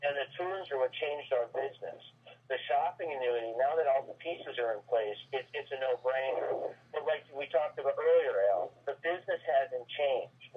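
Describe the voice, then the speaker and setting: A man's voice: medium pitch, slight background noise. A man giving an earnest, folksy motivational sales talk over a conference call, often repeating phrases and listing items. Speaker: network marketing business owner, guest speaker on a team call. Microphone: telephone. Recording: very muffled, tinny telephone conference line.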